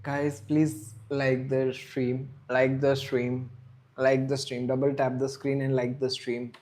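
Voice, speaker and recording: A man's voice: medium pitch, little pitch movement, slow pace, a little mellow. A man, chatting casually on a live stream, rambling and answering viewers' chat. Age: 30s. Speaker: livestream host.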